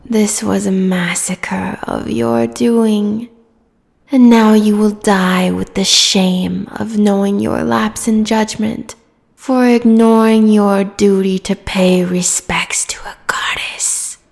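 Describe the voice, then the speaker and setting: A woman playing a proud, menacing giant sea goddess in a fantasy audio roleplay, speaking in a haughty, theatrical way with phrases that trail into breathy whispers. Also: medium pitch, steady tone, slow, a little mellow. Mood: gloating. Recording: long cavernous reverb.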